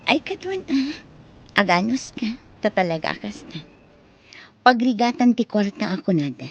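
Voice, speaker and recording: A woman's voice: a little high, sing-song, moderate pace, slight background noise. A woman playing a firm but forgiving married mother in a radio drama, with an Ilocano accent. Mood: apologetic, firm.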